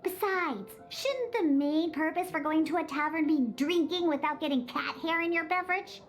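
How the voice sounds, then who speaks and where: A woman's voice: extremely high pitch, moderate pace, faint music behind. A woman voicing a grumpy, baffled girl in a video game, haughty and complaining. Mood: disdainful. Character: grumpy, baffled girl who scorns cute cats.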